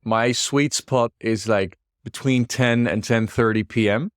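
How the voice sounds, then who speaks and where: A young Dutch man with a Dutch accent, a startup founder chatting as an interview guest, relaxed and laughing softly. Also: medium pitch, measured pace, smooth, no room sound, studio quality. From Netherlands. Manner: relaxed, frequent restarts and soft laughs. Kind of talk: casual interview chat.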